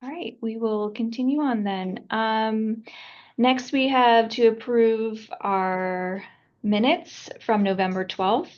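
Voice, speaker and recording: A woman chairing a board meeting on a video call, speaking haltingly with many pauses. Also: a little high, fairly even intonation. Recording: dull video-call audio.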